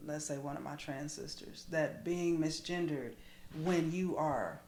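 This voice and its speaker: Low-pitched American woman in a roomy space. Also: measured pace. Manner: emphatic, expressive, colloquial. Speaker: podcast conversation partner. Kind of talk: candid casual conversation. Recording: roomy echo with clear background noise.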